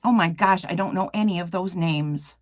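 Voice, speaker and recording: A woman's voice: lowish voice, moderate pace, dry recording, lo-fi sound. A woman chatting as a guest on a craft livestream, curious and gushing, laughing often. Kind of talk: casual chat.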